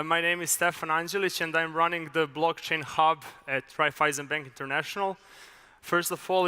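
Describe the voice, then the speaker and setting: A young man from Serbia, giving a measured, explanatory conference talk with a few filler uhs. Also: a little high, sharp tone, clear, resonant tone. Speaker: conference speaker. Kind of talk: conference presentation. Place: large conference hall. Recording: reverberant hall.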